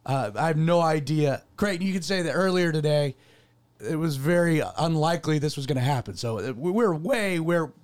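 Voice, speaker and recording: A man's voice: on the high side, normal speed. A man hosting a radio show as a pastor, talking casually with fillers while his voice cracks from having lost it. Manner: good-humoured, rambling with fillers. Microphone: studio microphone.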